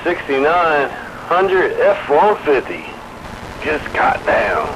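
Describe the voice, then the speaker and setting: A man's voice: a little high, very animated, slow, noisy background. A man chatting casually as an operator over CB radio.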